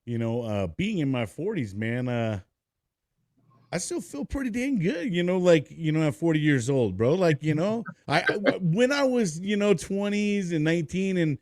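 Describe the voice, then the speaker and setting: A man's voice: fairly high pitch, big pitch swings, normal speed, darkish tone, no echo, clean studio sound. A man in his forties hosting a podcast interview, talking in a laid-back, slangy way and swearing casually. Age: 40s. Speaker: podcast host.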